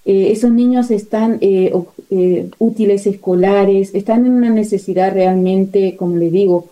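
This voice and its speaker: A woman missionary describes her work as an interview guest. Her voice is extremely soft-toned and mellow, with flat, even intonation at a middling pitch. She often hesitates while searching for words. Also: normal speed, poor audio. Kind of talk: spontaneous interview account.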